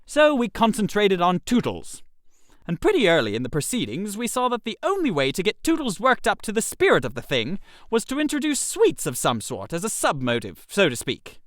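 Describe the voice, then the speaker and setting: A man narrating a comic first-person story in a chatty, expressive way, in character as an idle gentleman. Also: high voice, fairly quick, dry recording, studio quality.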